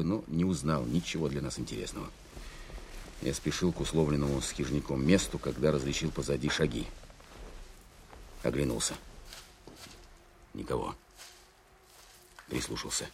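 A wartime officer who tells his story in a radio drama. The voice is extremely low, sober and measured, with faint ambient noise behind it. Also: gravelly, a little mellow, heavily acted. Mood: tense. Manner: measured, deliberate. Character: sober male wartime counterintelligence officer recounting his search. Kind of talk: first-person dramatic narration, radio play.

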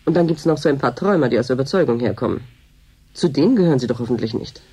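A woman's voice: a bass voice, animated, rapid-fire, warm tone, slightly rough, full character voice. A woman playing a world-weary, dryly officious boss of a remote reclamation station in a German radio drama. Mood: weary, sober.

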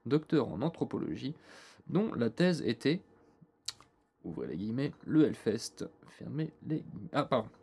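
A man's voice: medium pitch, very animated, moderate pace, gravelly, darkish tone, breathy edge. A man co-hosting a casual podcast chat, teasing his partner and reading band news from notes. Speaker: podcast co-host.

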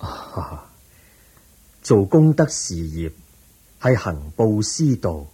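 A man acting a calm, patient, wise teacher in a radio drama, measured and gently instructive, with a Hong Kong Cantonese accent. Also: sits low, breathy edge, heavily acted.

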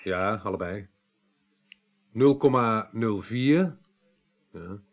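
Low-voiced male police detective. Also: lively intonation, slow pace. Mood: brisk, businesslike. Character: businesslike male police detective reporting on a case. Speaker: police investigator. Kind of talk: Dutch radio drama acting.

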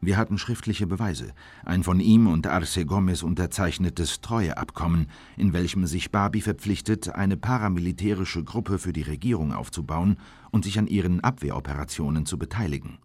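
An actor playing a former official soberly recounting an interrogation in a radio drama, husky, measured and grave. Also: male voice, sits low, a little mellow, dry recording. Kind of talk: scripted first-person radio drama narration.

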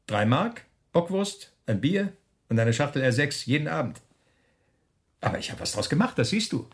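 A man acting in a German radio play as a smug, menacing officer who taunts a prisoner with mocking, drawn-out questions. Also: a little high, big pitch swings. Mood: boastful, contemptuous.

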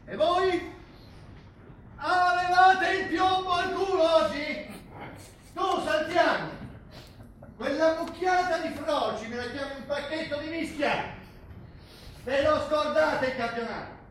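A man's voice: very high-pitched, takes it slowly, pauses often, fairly bright tone, heavily acted. An actor playing a coarse, hard-driving rugby coach who harangues his team in a theatrical, foul-mouthed way. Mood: scornful anger. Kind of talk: theatrical stage acting. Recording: distant, very echoey, faintly noisy stage recording.

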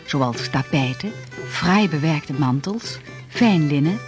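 Narrator for sea-history radio play: sober chronicler, higher-than-average voice, light music. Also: male voice, expressive, slow pace. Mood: measured. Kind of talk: scripted radio documentary-drama narration.

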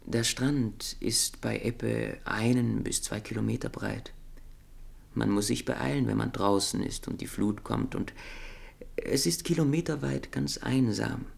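An actor playing a wry, dejected French intellectual in a German radio play, speaking with irony and some hesitation. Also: male voice, medium pitch, steady tone, a little slow, vocal fry, darkish tone. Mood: musing.

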